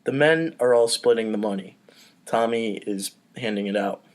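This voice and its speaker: A man's voice: on the low side, moderate pace. Man casually narrating a comedy script. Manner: offhand, breaking off for asides. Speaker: podcast host, script narrator.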